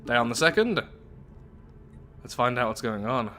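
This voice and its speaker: A man hosting a video game playthrough, talking through it in an animated, chatty way and thinking aloud. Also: mid-range voice, moderate pace, faint music behind. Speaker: YouTube gaming host. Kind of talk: game playthrough commentary, reading event text aloud.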